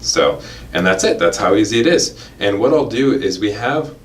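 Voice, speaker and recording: A man's voice: fairly low pitch, lively intonation, normal speed. A man demonstrating a product in a casual, step-by-step tutorial, explaining informally and talking straight to the viewers.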